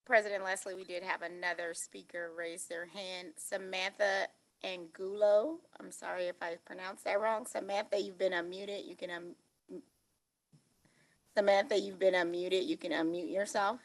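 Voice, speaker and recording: A woman in a middle register runs a public meeting's speaker queue. She speaks slowly and formally, repeating set phrases as she calls each name. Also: mid-range voice, level tone. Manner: slow, formal and repetitive. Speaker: meeting clerk. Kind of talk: procedural meeting announcements. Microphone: remote call audio.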